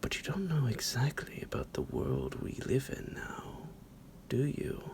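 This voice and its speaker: Man playing a slow, gravelly, whispering psychotic vampire. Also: a little mellow, full character voice. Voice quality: breathy, gravelly whisper dropping into low creaky voice. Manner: slow, theatrical, menacingly affectionate, chuckling. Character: centuries-old psychotic male vampire captor, possessive, mock-tender. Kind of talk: ASMR roleplay addressed to the listener.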